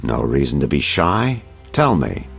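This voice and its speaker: A man's voice: a bass voice, huge pitch swings, a little slow, gravelly, fairly bright tone, breathy edge, faint music behind, heavily acted. A man playing a seasoned, self-assured detective in a video game, talking with condescending confidence. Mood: reassuring.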